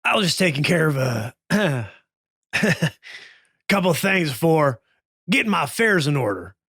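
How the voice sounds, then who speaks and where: A man's voice: a little high, sing-song, moderate pace, fairly bright tone, breathy edge, no room sound, studio quality, full character voice. A man voicing a cocky, strutting outlaw gunslinger in a tabletop western, drawling taunts. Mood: cocky, taunting. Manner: drawling taunts, says dude.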